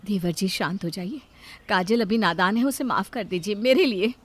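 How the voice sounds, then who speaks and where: A woman's voice: medium pitch, normal speed, lightly acted. A woman voicing a caring, self-sacrificing elder sister-in-law in a story, pleading and conciliatory. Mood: soothing.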